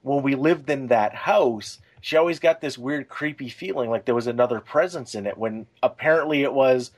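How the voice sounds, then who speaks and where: Man, medium pitch, casual, conversational, explanatory, podcast co-host. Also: normal speed.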